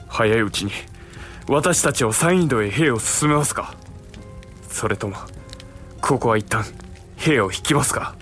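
An earnest samurai retainer and younger brother, medium in pitch. His delivery is formal, deferential and anxious, in period radio drama style, over light music. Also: lively intonation, a little slow, long pauses, fairly bright tone. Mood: urgent. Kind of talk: Japanese period audio drama acting.

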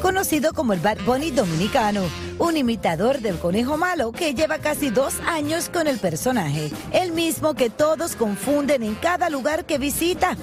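Lively high-voiced woman narrating a report. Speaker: entertainment reporter.

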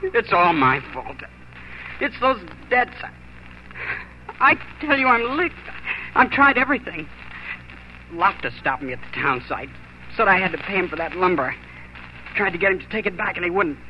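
An actor playing a desperate, defeated young homesteader husband in a 1940s radio drama, agitated and halting. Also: male voice, high voice, very animated. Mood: defeated, frustrated. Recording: noisy, dull 1940s radio recording.

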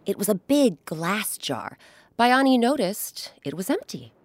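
A woman hosting and narrating stories for children in an expressive, emphatic, clearly enunciated way. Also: medium pitch, measured pace, no echo. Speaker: podcast host and narrator. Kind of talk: animated storytelling for children.

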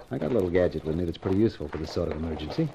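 An actor playing a cocky, wisecracking private eye in a 1950s radio detective drama, with a tough, slangy, wry delivery. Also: male voice, low voice, lively intonation, very fast, gravelly. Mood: hushed, wary. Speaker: lead actor and narrator. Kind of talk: 1950s radio detective drama acting, first-person narration. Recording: noisy vintage 1950s radio recording.

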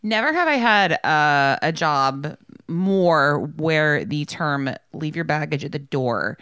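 Woman, lowish pitch, swooping intonation, casual co-host banter, emphatic. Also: takes it slowly, clean tone. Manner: expressive, emphatic, acts out dialogue. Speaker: podcast co-host. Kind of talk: casual chat.

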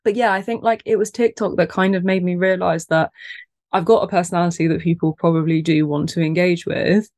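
A woman's voice: lowish voice, fairly even intonation, brisk, dry recording. Podcast guest, woman: soothing voice, self-deprecating, laughs easily, dry close mic. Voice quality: soft, soothing voice. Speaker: guest, content creator. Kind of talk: casual podcast conversation.

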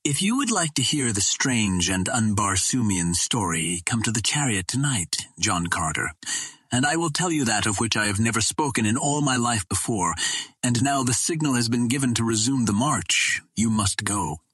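A man voicing a grave young green Martian woman in an audiobook, speaking in an earnest, measured way. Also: medium pitch, lively intonation, a bit bright, slightly rough, no room sound.

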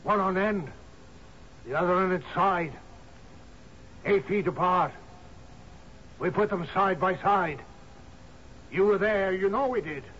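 A man's voice: high-pitched, takes it slowly, long pauses, a bit bright. An actor playing a distraught, insistent bereaved father in a 1970s radio drama, speaking in short emphatic phrases. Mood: anguished. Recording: muffled, noisy 1970s radio broadcast.

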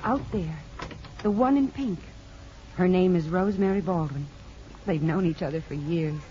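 A woman's voice: lowish voice, normal speed, mellow, lightly acted. An American woman playing a sympathetic, candid married aunt, speaking expressively and confidingly in 1950s radio drama style. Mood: sympathetic, rueful. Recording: muffled old radio broadcast.

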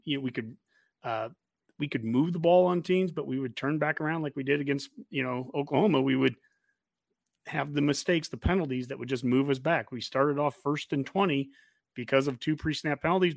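Sports podcast host: American male, conversational, creaky voice, very dry recording. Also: mid-range voice, normal speed, dry recording. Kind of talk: casual sports talk.

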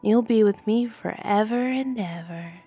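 A woman's voice: mid-range voice, unhurried, dark tone, slightly rough, soft background music, voice pushed up. A woman voicing a sweetly sinister little girl with magic, in a sing-song, coaxing, childish way. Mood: gleeful.

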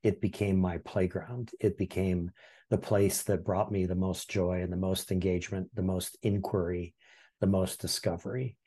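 A man in his sixties from Hawaii, telling his life story in a relaxed, reflective way as a podcast guest, with frequent ums and restarts. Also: low voice, moderate pace, breathy edge. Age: 60s. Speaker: podcast guest, former history teacher. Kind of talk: casual interview storytelling.

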